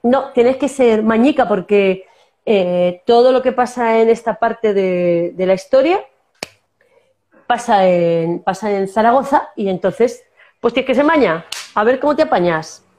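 A woman's voice: on the high side, measured pace, warm tone. A woman from La Mancha with a Spanish accent from that region, an actress telling stories in a casual interview, often restarting and using fillers. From Castilla-La Mancha, Spain. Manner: storytelling, many restarts and fillers. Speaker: actress guest.

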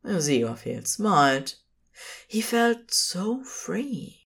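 A woman narrating scripted fiction as a storyteller, in a measured, phrase-by-phrase manner. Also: lowish voice, sing-song, gravelly, husky.

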